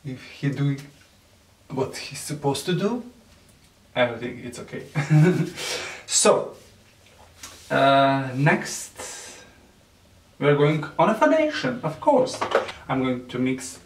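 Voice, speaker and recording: Slow, halting man with a Serbian accent. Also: mid-range voice. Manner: halting, hesitant, many fillers, light nervous laughs. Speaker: beauty vlogger. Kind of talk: makeup tutorial. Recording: echoey room, mic at a distance.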